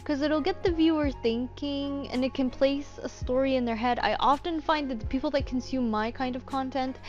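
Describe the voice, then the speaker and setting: Woman, slightly high voice, informal how-to talk, lo-fi muffled sound. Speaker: voice actor giving a tutorial. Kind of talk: casual unscripted tutorial talk.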